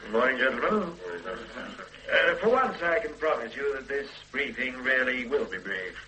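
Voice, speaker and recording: A sharp, exact cop boss, dry and in charge, bright voice, bit of old radio hum. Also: male voice, medium pitch, animated, poor audio. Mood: briefing. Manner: measured, precise, dryly authoritative. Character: methodical middle-aged police detective superintendent. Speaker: detective. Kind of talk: 1970s radio drama acting.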